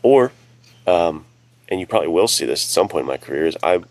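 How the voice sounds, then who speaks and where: A man with an extremely deep voice and plenty of vocal fry co-hosts a podcast. He talks in a casual, conversational style, low and gravelly. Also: animated, fairly quick, a little mellow, no echo. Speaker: podcast co-host and writer.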